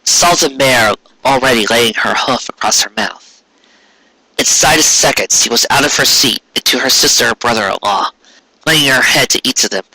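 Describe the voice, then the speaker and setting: Very bright-voiced man narrating a story. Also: mid-range voice, moderate pace, husky, no echo, low-quality recording. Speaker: amateur audiobook narrator.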